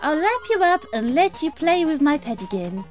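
A man voicing a sweetly menacing female spider monster in a cartoonish game, dainty and teasing with drawn-out words. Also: very high voice, big pitch swings, soft background music, pitched above the performer's own voice. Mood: sweetly apologetic.

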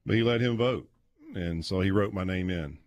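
A low-pitched man. He talks like a radio guest swapping old stories, taking his time and pausing as he goes. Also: no echo. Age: 50s. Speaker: guest, former college quarterback. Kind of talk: casual sports radio storytelling. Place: small padded radio studio. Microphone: close studio microphone with headset.

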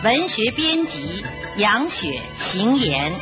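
Lady radio drama announcer, formal and slow, music behind her, kinda muffled lo-fi. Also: medium pitch, big pitch swings. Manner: measured, declamatory, carefully articulated. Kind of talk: formal scripted announcement, reading credits. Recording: muffled lo-fi broadcast audio.

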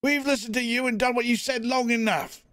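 Male narrator doing a high, jeering rebel in the crowd. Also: steady tone, fairly quick, a bit bright, no room sound, clean studio sound. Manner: jeering and defiant. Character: mocking defiant man in a rebellious crowd.

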